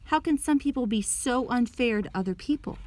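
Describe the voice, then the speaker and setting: A woman's voice: on the high side, lively intonation, fairly quick, darkish tone, voice pushed up, natural voice. Storybook hero: principled young sportsman, earnest and defiant, read by a woman. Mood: troubled, earnest. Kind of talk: children's storybook character reading.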